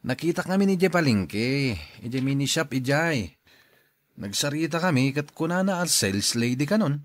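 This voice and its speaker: An actor playing a teasing, gossipy friend in a Filipino radio drama, with a Filipino accent. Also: male voice, fairly high pitch, expressive, normal speed, dry recording, close to an everyday voice. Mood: teasing, amused. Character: teasing, gossipy male buddy.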